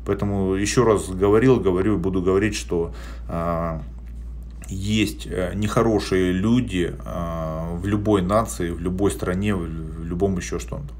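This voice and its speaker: Give me a plain-spoken man in his forties answering viewers on a phone stream from a small room, voice on the low side. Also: fairly even intonation, normal speed, darkish tone, slight background noise. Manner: plain-spoken and blunt, with frequent hesitation sounds. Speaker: athlete answering viewer questions on a live stream. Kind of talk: casual live-stream chat. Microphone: phone held at arm's length.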